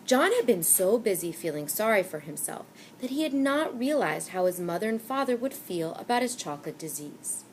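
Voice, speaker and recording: A woman reading a children's novel aloud with expressive storytelling. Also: medium pitch, moderate pace, few pauses, slight background noise. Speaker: reader.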